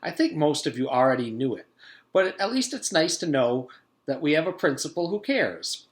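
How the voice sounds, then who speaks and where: A man voicing a kindly, earnest female schoolteacher in a storybook, gentle and reassuring. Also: a little high, big pitch swings, normal speed, voice pushed up.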